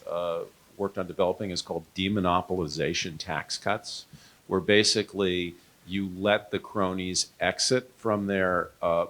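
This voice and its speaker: A man speaking off the cuff on a discussion panel, haltingly and with frequent filler phrases. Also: low voice, a bit bright. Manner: halting, frequent "you know". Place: live panel event before an audience.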